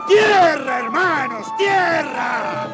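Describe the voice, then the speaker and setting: An actor playing a fiery Mexican peasant revolutionary who rallies his men in drawn-out, shouted oratory for a radio drama. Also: very high voice, lively intonation, full character voice. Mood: rousing, defiant. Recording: loud music and crowd behind the voice.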